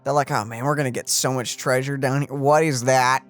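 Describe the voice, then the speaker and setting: A man's voice: mid-range voice, fairly even intonation, brisk, fairly bright tone, soft background music. A man hosting a let's play, chatting casually in a playful, offhand manner. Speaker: let's play host and player. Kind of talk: casual gaming chat.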